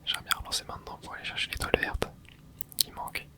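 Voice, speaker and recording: A host whispering casual ASMR commentary over gameplay, reacting excitedly and chatting to viewers. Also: fast talker. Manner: casual, chatty, self-correcting. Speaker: channel host.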